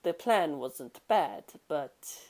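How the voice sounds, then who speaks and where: A serious gangster voiced by a woman storyteller, composed and sure of himself. He speaks quietly and with measured calm, in the manner of an audiobook drama. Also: sits low, exaggerated voice. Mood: calm confidence. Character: serious, composed male gangster, calmly confident.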